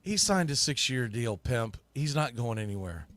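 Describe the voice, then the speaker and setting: Bright, forceful male sports talk host. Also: mid-range voice, sing-song, moderate pace, dry recording. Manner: emphatic, colloquial, conversational. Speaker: sports podcast host and play-by-play broadcaster. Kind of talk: live sports talk, opinionated commentary.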